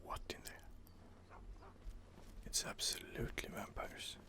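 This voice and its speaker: A man softly whispering ASMR commentary on a fantasy game he is playing, rambling and hesitant, close to the microphone. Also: a little slow. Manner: soft-spoken, rambling, hesitant. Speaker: gaming channel host.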